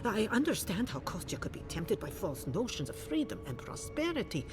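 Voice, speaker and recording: An actress playing an anxious, devout leader of an endangered keep, earnest and formal, in a video game cutscene. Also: female voice, sing-song, normal speed, music in the background, natural voice. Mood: distraught. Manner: earnest, urgent, emotive, formal. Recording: clear rumbling ambient noise behind.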